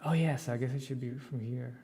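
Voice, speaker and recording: A man giving casual live commentary as he plays a game, talking in a halting, unhurried way. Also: medium pitch, fairly even intonation, crowd noise. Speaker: streamer co-hosting a game playthrough.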